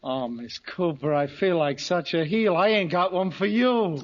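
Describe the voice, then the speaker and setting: An actor playing an earnest, dim, lovesick butcher in an old radio sitcom, gushing in a folksy way, on an old recording. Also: male voice, high voice, unhurried, highs cut off, a little hiss or hum. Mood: smitten, coy. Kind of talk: 1940s-50s radio sitcom acting.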